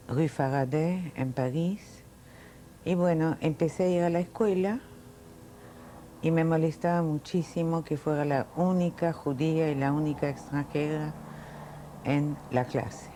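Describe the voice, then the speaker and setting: A woman in her late sixties, born in Italy, telling her life story in an oral history interview, reflective and unhurried with frequent fillers. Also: low-pitched, warm tone, slight background noise. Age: 60s.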